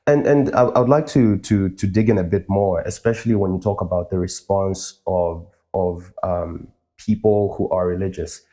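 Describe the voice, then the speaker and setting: A man raised in Zambia hosts the interview, putting careful, thoughtful questions and murmuring along as his guest talks. His voice sits on the low side and sounds mellow and warm. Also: normal speed, hardly any pauses. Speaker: interviewer, host. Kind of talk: conversational interview.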